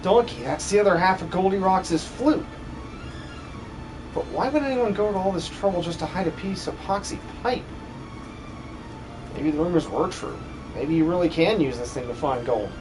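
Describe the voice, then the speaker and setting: A man voicing an excitable, inquisitive adventurer sidekick in an amateur video game dub, chatty and theatrical. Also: high-pitched, normal speed, long pauses, music in the background, poor audio. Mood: curious, eager.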